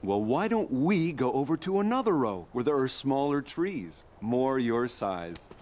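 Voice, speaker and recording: Slow-talking gentle cartoon dad. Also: male voice, mid-range voice, very animated. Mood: gentle, reassuring. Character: gentle, patient young father telling a story. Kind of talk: preschool cartoon dialogue and sung story.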